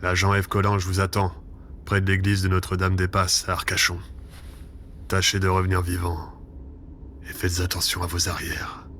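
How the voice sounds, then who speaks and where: A deep, even-toned spy boss played by a man, cold and formal, handing down curt reproaches in an audio drama. Ambient noise sits behind the voice. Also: normal speed. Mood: businesslike. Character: stern intelligence chief, cold and scolding.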